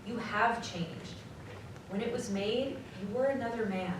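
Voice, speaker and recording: A woman's voice: a little high, lightly acted. A woman playing a sorrowful, earnest young fiancée in formal period dialogue for a live radio drama. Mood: sad reproach. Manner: earnest, measured, formal phrasing. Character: sorrowful young fiancée releasing her money-obsessed lover. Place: live community theatre stage. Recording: very echoey live hall, distant, faint noise.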